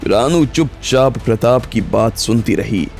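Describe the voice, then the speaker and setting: A man's voice: medium pitch, measured pace, vocal fry, a little mellow. A man narrating a scripted audio story in the role of storyteller. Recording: background music under the voice.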